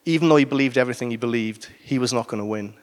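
A Welsh man preaching to his church. His voice sits at an ordinary middle pitch. He delivers the sermon casually, breaking off for jokes and asides. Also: rapid-fire. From Wales. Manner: conversational with asides and humor.

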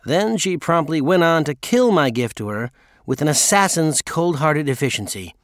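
A man's voice: on the high side, animated, normal speed, dry recording, clean studio sound. A man narrating a memoir audiobook in a scripted first-person storytelling style.

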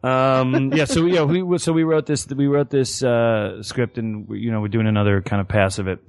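A man chatting casually on a podcast, rambling and halting with frequent restarts and fillers. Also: medium pitch, fairly even intonation, a little mellow. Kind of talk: casual chat.

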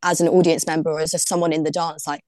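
Young woman on a podcast, low voice, super chatty, dry close sound. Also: level tone, quick pace, a little mellow, no room sound. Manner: chatty, heavy use of "like", laughs easily. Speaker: podcast guest, DJ. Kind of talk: casual interview chat.

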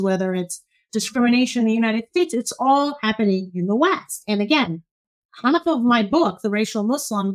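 A woman from Egypt, a law professor giving measured, emphatic explanations as an interview guest. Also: on the high side.

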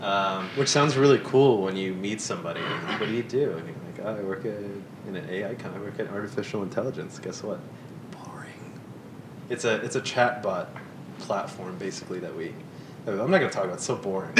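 A young man chatting as a podcast guest, laughing often and making self-deprecating asides. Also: recorded from a distance. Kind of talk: casual chat. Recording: roomy sound, off-mic, rumbling noise.

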